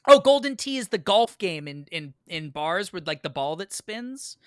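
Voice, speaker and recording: Bright-voiced American man telling stories. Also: sits high, moderate pace, clear, resonant tone, no echo, studio quality. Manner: animated, emphatic, profane storyteller. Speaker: podcast guest. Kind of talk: casual chat, storytelling.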